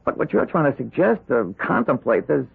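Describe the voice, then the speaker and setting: An actor playing a loyal but anxious young lawyer, hesitant and informally protesting, in an old radio drama. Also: male voice, medium pitch, very animated, moderate pace, vocal fry, breathy edge, full character voice. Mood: doubtful. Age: young adult. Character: young attorney, anxious, loyal, skeptical subordinate. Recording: muffled vintage radio recording.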